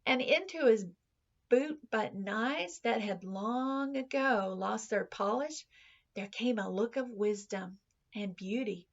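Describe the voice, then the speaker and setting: Female storybook reader, expressive teacherly narration, gravelly fry in the voice, slightly muffled lo-fi sound. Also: fairly high pitch, takes it slowly. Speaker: teacher reading a children's story aloud.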